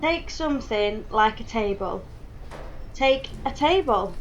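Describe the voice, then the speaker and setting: A woman's voice: on the high side, lively intonation, takes it slowly. A young woman acting an opinionated character in an intense personal conversation in an audio drama. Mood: engaged, insistent. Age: young adult. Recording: dull home recording with background noise.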